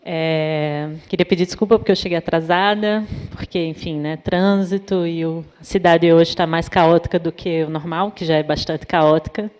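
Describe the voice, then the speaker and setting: A woman from Natal with a northeastern Brazilian accent, a psychologist giving an informal talk at a university event, pausing often with fillers. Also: lowish voice, steady tone, a little mellow, smooth. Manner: many fillers, thoughtful and hesitant. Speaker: invited speaker, psychologist. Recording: long hall reverb.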